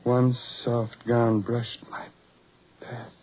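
An actor playing a bitter, despairing, ugly man in a theatrical, poetic 1940s radio drama style, with a husky voice. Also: mid-range voice, monotone, extremely slow, a little hiss or hum. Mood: wistful, poetic. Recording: muffled old 1940s radio recording.

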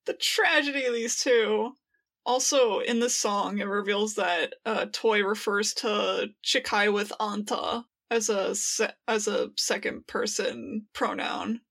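A woman's voice: mid-range voice, fairly even intonation, takes it slowly, gravelly, a bit bright. A woman co-hosting a podcast in casual fan discussion, with a wry, playfully exaggerated way of talking. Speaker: podcast co-host.

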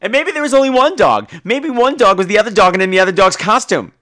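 High-voiced, animated wisecracking man. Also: quick pace. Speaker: podcast host. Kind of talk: casual film chat responding to a listener call.

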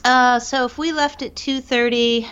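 A woman's voice: a little high, steady tone, a little slow, a bit bright, clear, resonant tone, highs cut off. A woman speaking as an interview guest, recounting her own paranormal experiences.

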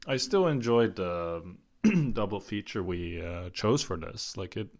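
A man co-hosting a casual film podcast, halting and full of restarts, laughing often. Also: lowish voice, lively intonation. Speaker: podcast co-host.

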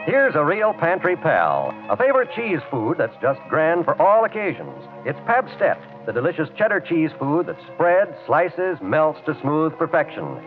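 A man's voice: on the high side, sing-song, normal speed, hardly any pauses, soft background music. A man reading a scripted 1940s radio advertisement as a commercial announcer. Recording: tinny phone-like 1940s radio recording.

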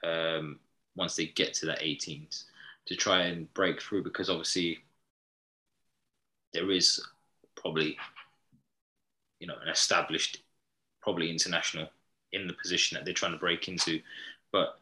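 A very low-pitched man with a bright, forceful tone hosts a podcast interview. He asks long, rambling questions and restarts his sentences often. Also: little pitch movement, moderate pace, long pauses, rough recording. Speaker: podcast host.

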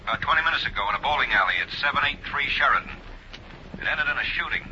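A man's voice: mid-range voice, lively intonation, rapid-fire, sharp tone. A man playing a terse, urgent police sergeant speaking over the telephone in a 1950s radio drama. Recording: dull, noisy 1950s radio recording.

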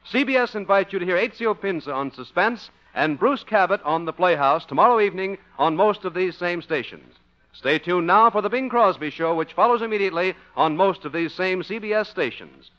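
A man's voice: sits high, expressive, fairly quick. A man reading scripted announcements in 1950s network radio style. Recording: muffled old radio broadcast, music behind. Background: music.

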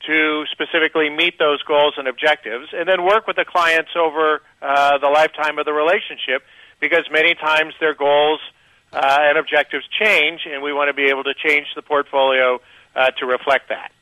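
Male guest, bright, slightly high voice, dry close sound, halting answers. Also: steady tone, no echo, low-quality recording. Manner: measured with many fillers. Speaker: guest portfolio manager. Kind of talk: radio interview answers.

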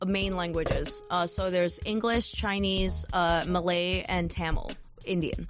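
A woman's voice: fairly low pitch, fairly even intonation, unhurried, faint music behind. A young woman from Singapore, a podcast guest chatting casually, laughing often and swearing lightly with many fillers. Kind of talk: casual chat.

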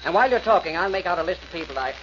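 An actor playing a confident, wealthy husband in a 1940s radio drama. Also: male voice, high-pitched, animated, racing, fairly bright tone. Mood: reassuring. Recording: muffled, hissy vintage radio recording.

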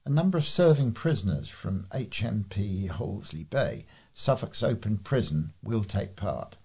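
A man reading local news articles aloud as a volunteer reader, informal and stumbling now and then, with a slightly husky voice. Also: lowish voice, expressive, normal speed, dark tone.

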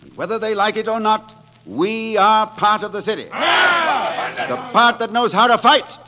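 An actor playing a gallant, roguish gutter poet and leader of rabble in a theatrical, declamatory 1940s radio drama style. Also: male voice, sits high, expressive, unhurried, very noisy. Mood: rousing, defiant. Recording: 1940s radio broadcast with music behind.

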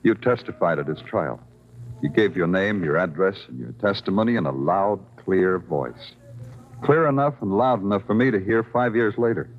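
An American man playing a terse, deadpan, world-weary police detective in a vintage radio crime drama. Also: low-pitched, normal speed. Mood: cool, pressing. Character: hard-boiled male night-shift Broadway police detective, world-weary. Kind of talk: 1950s radio crime drama acting and narration. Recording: dull vintage radio recording with music.

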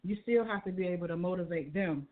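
Chatty slangy interview host, quick to laugh, over a tinny phone line. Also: medium pitch.

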